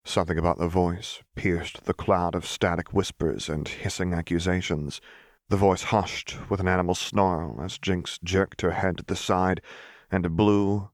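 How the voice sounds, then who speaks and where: A man with a low voice narrates dramatic fiction. His storytelling is measured and expressive, and the recording is close and dry, with no room sound. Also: no echo. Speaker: audiobook narrator.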